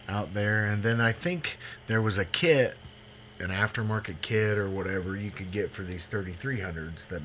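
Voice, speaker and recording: A man's voice: fairly low pitch, a bit bright, a little hiss or hum. A man giving a casual, unscripted product walkthrough in a folksy, self-deprecating, rambling way. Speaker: radio hobbyist demonstrating a used CB radio.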